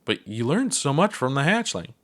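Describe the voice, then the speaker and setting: A man's voice: medium pitch, big pitch swings, normal speed, a bit bright, voice pushed up. A man reading aloud, voicing an earnest, worried young alien astronaut who presses with eager questions in a raised voice. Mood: urgent.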